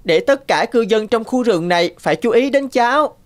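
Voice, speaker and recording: A woman's voice: fairly high pitch, animated, normal speed, lightly acted. A woman voicing a naive, eager young stick insect in a cartoon fable, childlike and earnest. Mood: eager, pleading. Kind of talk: animated fable dialogue. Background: prominent background music.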